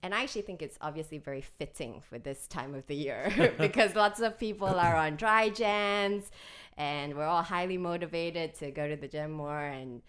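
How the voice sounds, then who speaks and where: A woman's voice: fairly low pitch, normal speed, a bit bright, clear, resonant tone. A woman hosting a podcast in bubbly casual chat, laughing often between her lines. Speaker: podcast host.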